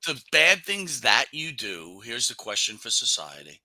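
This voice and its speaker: A man's voice: mid-range voice, very animated, a little slow, sharp tone, no room sound. A man hosting a casual talk show, arguing insistently and circling back to his point. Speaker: wrestling talk show host. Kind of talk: casual argumentative discussion.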